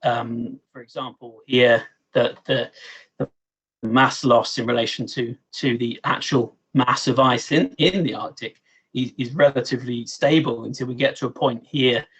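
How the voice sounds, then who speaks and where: A man giving a scientific presentation in an online meeting, halting and often repeating words as he explains. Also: mid-range voice, fairly even intonation, slightly rough, rough recording. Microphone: online meeting microphone.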